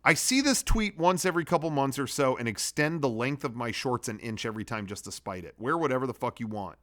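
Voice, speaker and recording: A man's voice: medium pitch, animated, fast talker, a bit bright. A man hosting a comedy web show, reading out his own drafts and adding blunt, sweary, casual commentary.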